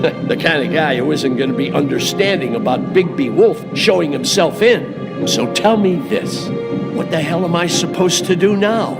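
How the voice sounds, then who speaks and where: Nervous put-upon game character, raised pitch, animated intonation, fretful, pleading, complaining, loud music. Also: male voice, normal speed, gravelly. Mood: fearful, pleading. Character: anxious, put-upon small-time man, nervous and complaining. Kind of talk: dramatic game voice acting.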